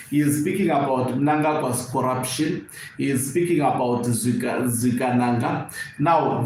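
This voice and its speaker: A man with a Zimbabwean accent gives live political commentary as an online host, phrasing deliberately and repeating words for emphasis. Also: medium pitch. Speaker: online news channel host and political analyst. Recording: very echoey room, faint background noise.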